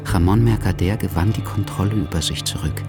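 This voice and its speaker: An actor playing a writer who narrates a literary radio play in a grave, measured, suspenseful manner. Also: male voice, sits low. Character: grave male writer narrating his story. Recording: clear music behind.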